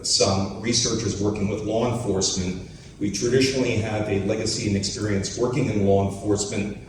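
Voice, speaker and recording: A man from Philadelphia, German his first language, giving a brisk, businesslike conference pitch. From Philadelphia, United States. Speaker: conference presenter. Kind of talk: short pitch presentation. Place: conference hall. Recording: echoey hall, mic far off, noisy.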